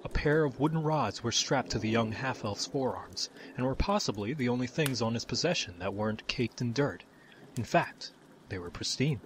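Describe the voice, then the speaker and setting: A man's voice: mid-range voice, expressive, normal speed, noisy background. A man delivering scripted fantasy narration as the storyteller of an audio tale. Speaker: narrator, game-style storyteller.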